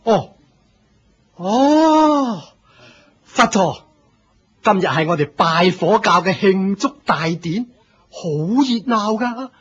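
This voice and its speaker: A man's voice: high voice, expressive, takes it slowly, long pauses, breathy edge, exaggerated voice. A man with a Hong Kong Cantonese accent playing an aging religious leader, proud yet humble, in a theatrical Buddhist radio drama. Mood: hearty, declaiming. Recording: muffled lo-fi radio drama recording.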